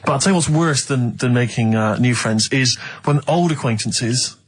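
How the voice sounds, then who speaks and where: A man's voice: mid-range voice, moderate pace. A man from Bristol with a West Country accent, co-hosting casual comic radio chat in a wry, self-deprecating way. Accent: West Country English. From Bristol, England. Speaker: radio show co-host. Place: radio studio. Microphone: studio microphone.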